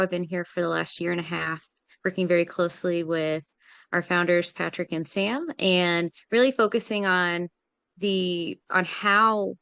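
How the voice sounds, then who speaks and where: Smooth-voiced woman hosting a webinar. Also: on the low side, fairly bright tone, no echo. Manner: friendly and organized, with frequent "um" fillers. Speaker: webinar host, project manager. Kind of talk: presenting a business webinar.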